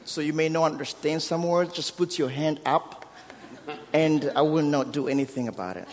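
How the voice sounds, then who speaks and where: A man's voice: on the high side, normal speed, noisy background. A man in his thirties from Burundi with a Burundian accent, preaching a sermon with humor and building emphasis. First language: Kirundi. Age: 30s. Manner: warm storytelling with self-deprecating humor, rising emphasis. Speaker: guest preacher. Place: church sanctuary. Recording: long hall reverb.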